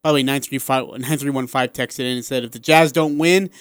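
A man's voice: mid-range voice, steady tone, fairly quick, a bit bright, clear, resonant tone, dry recording. A man with an American accent hosting a sports talk radio show, chatting emphatically in a studio.

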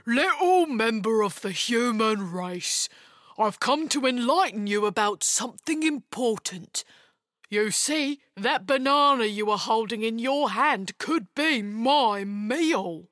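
A woman's voice: a little high, lively intonation, slow, fairly bright tone, no room sound, studio quality. A woman voicing a tiny, grumpy old male ogre in a children's story, grumbling and pleading earnestly. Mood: solemn.

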